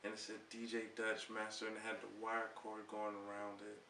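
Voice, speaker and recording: A relaxed man spins an easy-going story as a guest on a casual talk show. He sounds far from the mic in an echoey room, with a faint background hiss. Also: lowish voice, a little fast. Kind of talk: casual interview chat.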